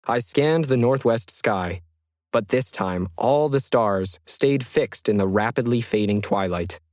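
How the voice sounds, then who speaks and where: A man narrates as a solitary 1950s ham radio hobbyist recounting his journal for an audio story. The delivery is measured, methodical and reflective. The pitch is medium and the sound is dry, with no room around it. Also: darkish tone, dry recording, lightly acted. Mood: weary, wondering. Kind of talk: scripted first-person journal narration.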